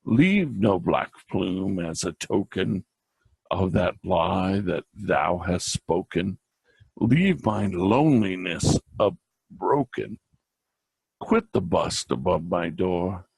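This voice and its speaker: A man giving a theatrical dramatic poetry recitation in a gravelly, husky voice, with drawn-out pauses and emphatic repeats. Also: deep voice, animated, a little mellow, no echo. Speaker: amateur reader of a classic poem.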